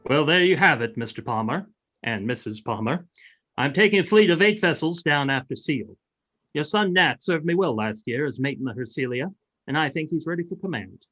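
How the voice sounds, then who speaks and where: A man's voice: a little high, animated, brisk, a bit bright, highs cut off. A man playing a confident, plain-spoken sea captain in an old-time radio play, speaking in an assured, matter-of-fact way.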